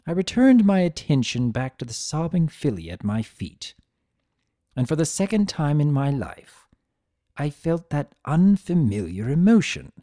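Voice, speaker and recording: A man voicing a grumpy, possessive, secretly tender chaos creature who narrates his own story in a sardonic, expressive dramatic reading. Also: on the high side, a little slow, dry recording, studio quality. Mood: wry, reflective. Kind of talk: first-person dramatic reading of fan fiction.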